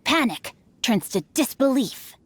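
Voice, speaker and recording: Refined, lightly high-voiced bookish boy. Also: very animated, a little slow, breathy edge, full character voice. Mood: dramatic storytelling. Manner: eloquent, formal, self-satisfied. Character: refined, bookish teenage boy, composed and theatrical schemer. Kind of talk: video game voice acting.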